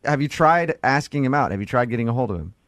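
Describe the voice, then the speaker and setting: A man's voice: medium pitch, lively intonation, fast, a bit bright, no room sound. A man hosting a radio show, bantering casually in a teasing, wry way.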